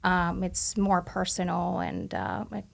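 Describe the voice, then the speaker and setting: A woman in her forties from Argentina, a native Spanish speaker, chatting casually as an interview guest and pausing often with ums and uhs. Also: on the low side, big pitch swings, creaky. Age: 40s. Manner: halting, lots of ums and uhs, light laughs. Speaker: guest, patient.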